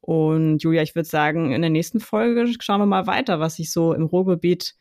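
A woman's voice: sits low, moderate pace, a little mellow, dry recording, studio quality. A woman from Hamburg co-hosting a podcast, asking a guest friendly questions. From Hamburg, Germany. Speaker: podcast co-host. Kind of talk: interviewing.

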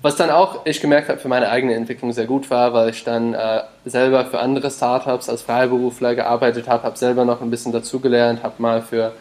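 A man's voice: mid-range voice, flat delivery, a little fast, hardly any pauses, a little mellow. Hesitant man. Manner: hesitant, many fillers and restarts, mixes in English words. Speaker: podcast guest, startup founder. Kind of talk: casual interview talk.

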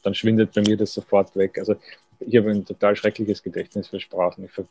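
A man's voice: lowish voice, level tone, fairly quick, creaky, mellow, a little hiss or hum. A man, a writer thinking aloud in a thoughtful, self-deprecating way as a radio interview guest.

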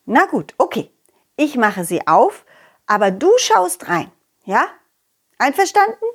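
A woman voicing a confident, loyal, cheerful dog who tells stories to children in an excitable, childlike way, as in an audio play. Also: on the high side, slow pace, husky, heavily acted. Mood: reluctant, anxious. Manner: excitable, childlike, speaking directly to child listeners. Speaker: puppeteer and storyteller.

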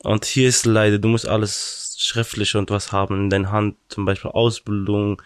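A man in his twenties from Afghanistan, a guest chatting in halting non-native German and laughing easily. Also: on the low side, level tone, smooth, no room sound, clean studio sound. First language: not German. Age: 20s. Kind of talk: casual interview chat.

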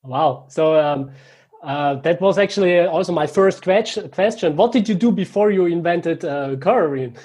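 A man's voice: a little high, unhurried, a little mellow, breathy edge. A man hosting a podcast in English with a German accent, friendly and a bit hesitant, laughing easily. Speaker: podcast host. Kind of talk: casual interview hosting.

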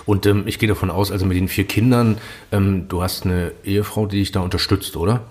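A man from Berlin asking relaxed, conversational interview questions in short prompts. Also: deep voice, a little fast, breathy edge.

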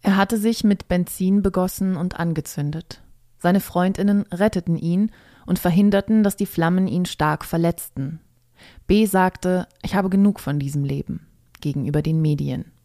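A woman's voice: on the low side, darkish tone, no echo, clean studio sound. A woman reading a scripted news report aloud as a presenter.

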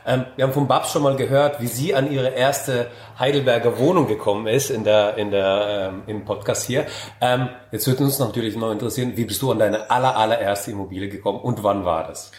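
A man's voice: medium pitch, fairly quick. A man hosting a podcast, asking questions with many hesitations. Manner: asks questions, hesitant with fillers. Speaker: podcast host. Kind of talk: podcast interview. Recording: roomy echo.

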